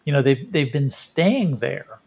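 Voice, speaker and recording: A man's voice: mid-range voice, lively intonation, unhurried, a little mellow, breathy edge. A man co-hosting a sports podcast, giving casual, reflective baseball commentary and thinking aloud.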